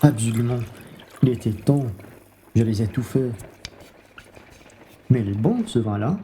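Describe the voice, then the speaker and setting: A man's voice: mid-range voice, a little slow, dark tone, slight background noise, close to an everyday voice. A man playing a hungry, blunt, wheedling bourgeois husband in a French radio play, speaking in an exclamatory, familiar way. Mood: eager flattery.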